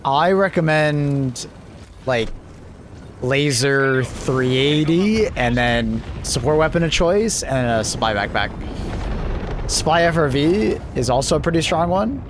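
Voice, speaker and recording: A man's voice: on the high side, expressive, slow, a little hiss or hum. A young man hosting a gaming livestream, chatting casually and rambling with lots of restarts. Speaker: livestream host. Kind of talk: casual chat while gaming.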